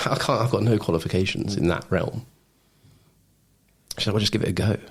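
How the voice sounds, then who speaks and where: A man's voice: lowish voice, normal speed, gravelly, slightly rough. A man telling personal stories casually as a podcast guest, in an informal, blokey way. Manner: informal, blokey, says mate, man and bro. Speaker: podcast guest, former Royal Marine. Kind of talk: casual anecdotal storytelling.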